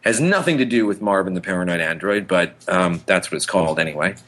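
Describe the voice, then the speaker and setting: A man's voice: deep voice, lively intonation, fast, a bit bright. A man co-hosting a podcast in casual chat, rambling enthusiastically and often correcting himself mid-thought. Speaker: podcast co-host.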